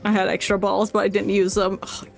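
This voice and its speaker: A woman's voice: medium pitch, flat delivery, a little fast, vocal fry. A woman streamer giving live gameplay commentary, swearing and ribbing the game in exasperation. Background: game music.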